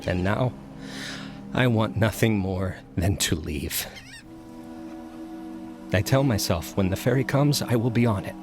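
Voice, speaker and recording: Haunted, brooding man, low-voiced. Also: unhurried, long pauses, over music. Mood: haunted, afraid. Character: haunted, fearful male mathematics professor, solitary and brooding. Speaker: narrator and lead character. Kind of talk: dramatic audio-drama narration.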